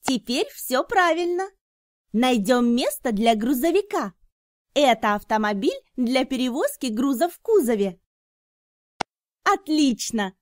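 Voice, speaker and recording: A woman's voice: high-pitched, no echo, studio quality. A woman narrating an educational cartoon for small children in an enthusiastic, instructive, carefully enunciated way. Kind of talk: scripted narration for toddlers.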